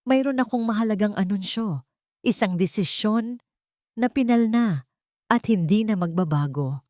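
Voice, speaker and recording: A woman voicing a resolute widowed heiress in a dramatic story, speaking calmly, coldly and with firm authority. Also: mid-range voice, unhurried, no echo, studio quality, pitched above the performer's own voice, close to an everyday voice. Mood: calm, authoritative.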